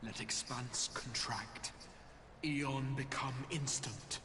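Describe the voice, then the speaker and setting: Very slow, echoing mysterious summoner. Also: male voice, medium pitch, bright, forceful tone. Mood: solemn, commanding. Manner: solemn, incantatory delivery. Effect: long echoing reverb. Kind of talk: video game voice acting. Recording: cavernous reverb, clear noise behind.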